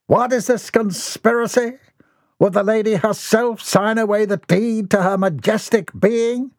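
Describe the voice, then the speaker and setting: Man playing a rambling eccentric, high-pitched, flowery, grandiose, dry close sound. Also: steady tone, unhurried, dry recording. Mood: grandiose ranting. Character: eccentric rambling nephew lost in sea fantasies.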